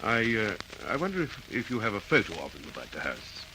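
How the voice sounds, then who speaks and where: An actor playing a methodical, courteous police detective in an Australian accent, in classic radio drama style. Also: male voice, lowish voice, big pitch swings, fairly quick, background noise. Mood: polite, reassuring.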